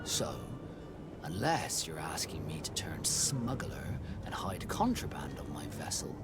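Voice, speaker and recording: Proud, guarded sea captain, music behind. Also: male voice, a bass voice, big pitch swings, gravelly, heavily acted. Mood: firm, dry. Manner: measured, formal, dry.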